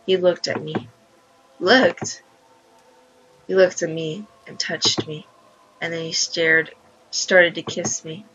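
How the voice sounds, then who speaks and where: A woman voicing a wounded, blank young girl from a visual novel in a subdued, halting way. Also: lowish voice, steady tone, a bit bright, slight background noise, poor audio. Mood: numb, subdued.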